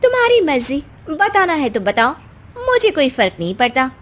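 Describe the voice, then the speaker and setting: A woman voicing a haughty, mocking young city wife in an animated story. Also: high voice, moderate pace. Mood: sassy. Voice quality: sassy, mocking, laughs at others. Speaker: voice actor.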